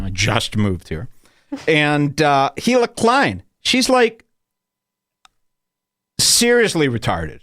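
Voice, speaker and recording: A man's voice: medium pitch, a bit bright, no room sound, clean studio sound. A man hosting a podcast, ranting in a loose, provocative comedic way and laughing at his own jokes. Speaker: podcast host. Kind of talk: casual comedic rant.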